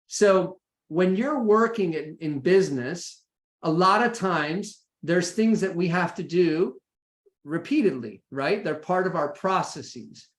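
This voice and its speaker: A man's voice: high-pitched, lively intonation, slow. An American man presenting an informal, practical session in a conversational way, often checking in with his listeners. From United States. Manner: conversational, checks in with "right?" and "okay?". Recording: echoey room sound.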